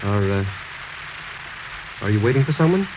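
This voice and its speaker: An actor playing a sober, skeptical doctor, reasoning and admonishing in 1940s radio drama style. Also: male voice, mid-range voice, big pitch swings, moderate pace, close to an everyday voice. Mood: uneasy concern. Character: sober, skeptical male doctor, concerned family friend. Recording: noisy, muffled 1940s radio recording.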